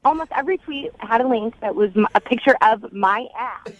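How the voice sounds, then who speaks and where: A woman's voice: a little high, lively intonation, a little fast, no echo. A young adult woman talking casually as a caller on a radio show, blunt and indignant. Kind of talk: casual phone chat. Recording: muffled phone line.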